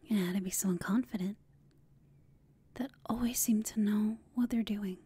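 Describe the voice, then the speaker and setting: A woman playing a confident, teasing female villain in an intimate ASMR roleplay, speaking in a smug, seductive, breathy whisper. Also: mid-range voice, steady tone, moderate pace, mellow.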